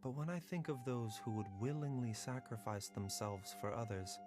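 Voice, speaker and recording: A man voicing a gentle, detached doctor in a video game, speaking politely and formally in a measured way. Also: mid-range voice, expressive, over music, lightly acted. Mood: solemn, composed. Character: composed, courteous male doctor, gentle and detached.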